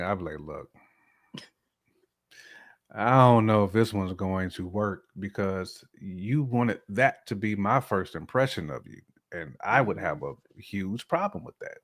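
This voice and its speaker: An American man in casual podcast conversation, talking in a colloquial, slangy way with emphatic repetition. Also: on the low side.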